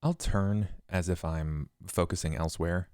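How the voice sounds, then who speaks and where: A man's voice: sits low, fairly even intonation, a little slow, darkish tone, clear, resonant tone, no room sound, clean studio sound. A man talking as a player in a tabletop roleplaying game, stating his character's actions.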